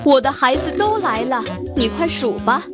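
A woman's voice: high-pitched, lively intonation, normal speed, over loud music. A woman voicing a proud, boastful old female turtle in a children's story. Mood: gruff. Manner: boastful, scornful. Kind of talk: storybook character voice.

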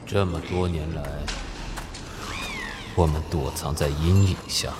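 An actor playing a pompous, theatrical young villain boss in red robes, making grandiose speeches in a fantasy radio drama. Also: male voice, low voice.

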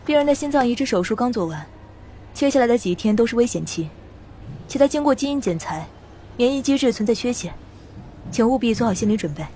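An actress playing a brisk, formal hospital doctor giving clinical briefings in an audio drama. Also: female voice, a little high, expressive, dark tone, lightly acted. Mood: grave, formal. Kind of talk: Chinese audio drama acting. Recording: faint ambient noise behind.

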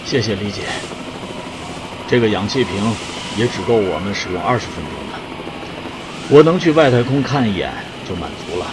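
A man playing a brave, philosophical space explorer in an audio drama, calm and resolute. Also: fairly low pitch, normal speed, pauses often, lightly acted. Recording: clearly noisy ambience.

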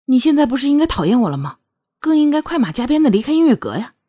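A woman's voice: on the high side, big pitch swings, brisk, darkish tone, husky. A woman voicing an indignant, flustered young heroine in a storybook romance, protesting in exclamations. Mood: exasperated.

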